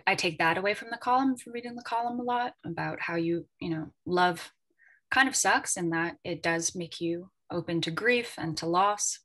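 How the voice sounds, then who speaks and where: Woman, lowish voice, thoughtful, informal panel talk, tentative phrasing, editor discussing her work. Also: a bit bright. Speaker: editor, panel guest at a literary festival.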